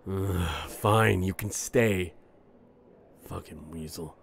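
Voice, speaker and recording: A man's voice: sits low, takes it slowly, vocal fry, exaggerated voice. A man playing a weary, irritable FBI special agent in an audio drama, curt and official. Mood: exasperated.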